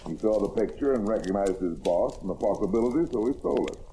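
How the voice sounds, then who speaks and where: A shrewd American detective played by a male actor in an old radio crime play. He speaks in a mellow, lively voice with wry, hard-boiled confidence, over the noise of a vintage recording. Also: on the low side, quick pace, lightly acted, poor audio. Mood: confident, wry. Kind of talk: old-time radio crime drama acting.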